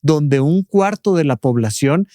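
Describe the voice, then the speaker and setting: A man talks as a doctor on a podcast, explaining patiently and often closing a point with a questioning tag. His voice sits a bit high, and the studio sound is dry and close, with no room around it. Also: animated, a little slow, dark tone, dry recording. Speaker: doctor and expert guest.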